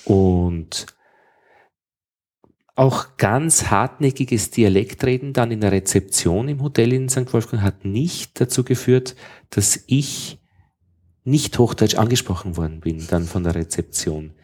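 A man's voice: fairly low pitch, a little slow, dark tone. Rambling Austrian man telling stories. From Upper Austria. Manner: rambling storyteller, many restarts. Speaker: radio interviewer. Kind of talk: casual anecdotal conversation.

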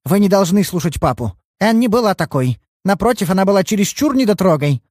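A man's voice: high-pitched, big pitch swings, a little fast, a little mellow, no room sound, studio quality, voice pushed up. A man voicing a distraught young woman who defends her sister. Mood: insistent. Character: young woman, protective sister, pale and upset.

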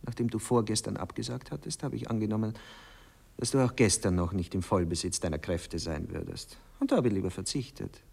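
A man's voice: on the low side, a little fast, vocal fry, darkish tone, breathy edge. An actor in a German radio play, a man playing a touchy, skeptical lover who questions everything with dry, fussy irony. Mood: dryly ironic. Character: touchy, skeptical male lover, dryly ironic.

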